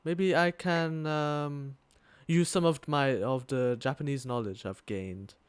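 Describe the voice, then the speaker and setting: A man's voice: on the high side, slow, smooth, no room sound. A young man co-hosting a casual podcast, telling a story with lots of fillers, restarts and swearing. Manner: rambling, swears often, many fillers and restarts. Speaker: podcast co-host. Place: living in Thailand, attends school there.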